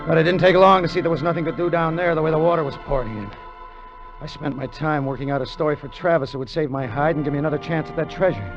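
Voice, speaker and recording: A man's voice: fairly high pitch, steady tone, quick pace, lightly acted. A man plays a crooked American treasure hunter in an old radio adventure. He is a tough, plainspoken sailor, desperate and greedy. The recording is muffled, with prominent music behind him. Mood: agitated. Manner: tough, plainspoken first-person storytelling. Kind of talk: 1950 radio drama acting.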